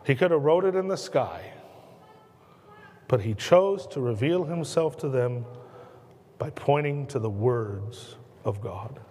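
A man in his thirties with an American accent, preaching a sermon from the pulpit in earnest, slowing and dropping his voice on key words. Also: animated, darkish tone, slightly rough. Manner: earnest preaching, slows and drops low on key words, gestures a lot. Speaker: pastor. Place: church sanctuary at a pulpit. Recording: long church reverb.

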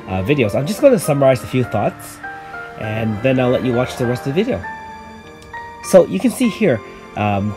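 Easygoing man with a slightly raspy voice. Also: medium pitch. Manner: relaxed, many fillers. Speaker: gaming YouTuber. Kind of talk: casual game commentary.

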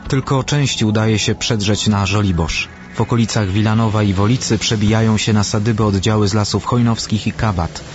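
A man's voice: lowish voice, fairly even intonation, a little fast, over music. A man reading scripted historical narration as the narrator of a radio documentary.

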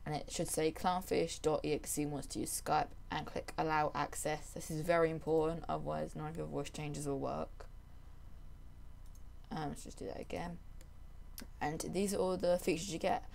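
A young adult presenter giving a casual software tutorial in a voice-over. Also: mid-range voice, fairly even intonation, moderate pace, vocal fry.

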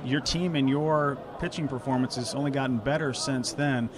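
A man's voice: medium pitch, fairly even intonation, moderate pace, background noise. A young man hosting a post-game interview at a ballpark, upbeat and polished as he runs through stats. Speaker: sports interviewer. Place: outdoor ballpark stands. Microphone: handheld mic. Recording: outdoor ballpark with crowd nearby.

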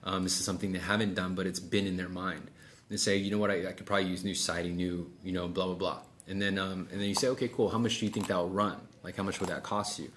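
A man's voice: sits low, little pitch movement, fast, a bit bright. A middle-aged man coaching one-on-one in a casual, explanatory way, acting out sample sales dialogue and checking in often. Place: small office meeting room, indoors. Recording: clearly noisy room sound.

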